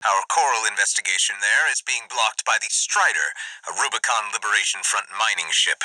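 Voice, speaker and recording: A man voicing a polite but scheming, ambitious corporate military officer in a video game, speaking with formal, crisp diction. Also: fairly low pitch, expressive, moderate pace, sharp tone, no room sound, poor audio. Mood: formal briefing. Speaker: military liaison giving mission briefings.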